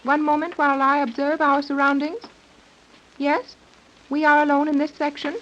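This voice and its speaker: A woman's voice: high-pitched, flat delivery, moderate pace, a bit bright. A woman playing a stern young submarine commander in a 1930s radio serial, formal, imperious and humorless. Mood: brisk, authoritative. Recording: dull lo-fi 1930s radio recording.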